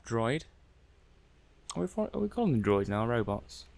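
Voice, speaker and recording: A man's voice: medium pitch, big pitch swings, normal speed, vocal fry, a little mellow. A man playing a mild, methodical robot-testing technician in an ASMR roleplay, muttering hesitantly and matter-of-factly to himself. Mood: questioning. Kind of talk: soft-paced ASMR roleplay monologue.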